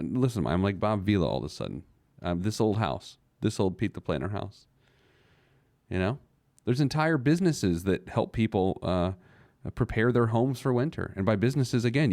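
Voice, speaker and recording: Low-voiced man with animated, swooping delivery. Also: no room sound, clean studio sound. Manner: emphatic, rhetorical questions, joking asides. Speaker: personal finance show host. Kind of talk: conversational financial advice monologue.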